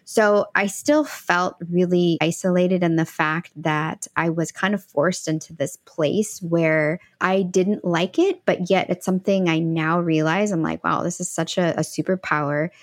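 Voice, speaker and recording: A woman's voice: fairly low pitch, steady tone, measured pace, few pauses, dry recording, studio quality. A woman speaking as a podcast guest, reflecting at length in a casual conversation with frequent restarts. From United States. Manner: reflective, talks in long winding stretches with frequent restarts. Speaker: guest, empowerment coach.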